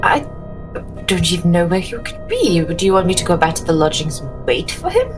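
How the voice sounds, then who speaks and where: A woman playing a genteel, anxious, soft-hearted lady in a tabletop horror game, with a polite, hesitant, fretful way of talking. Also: lowish voice, sing-song, a little fast, over music. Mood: anxious, tender.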